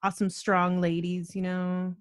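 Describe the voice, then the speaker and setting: Podcast host: woman, fairly low pitch, dry studio-like sound, casual conversational questioning. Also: monotone, normal speed, no room sound.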